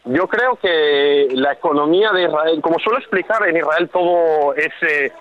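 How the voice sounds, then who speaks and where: A man from Catalonia with a Spain Spanish accent, a freelance journalist calmly explaining events as a radio interview guest, pausing often to search for words. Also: fairly high pitch, no room sound, narrow, tinny audio. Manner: measured, explanatory, frequent hesitations.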